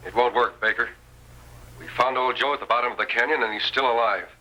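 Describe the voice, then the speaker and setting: A man's voice: medium pitch, steady tone, fast, bright, forceful tone. An actor playing a resolute, courteous American masked lawman with an authoritative manner in a radio Western. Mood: confident. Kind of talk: old-time radio Western acting. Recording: noisy vintage radio recording, tinny.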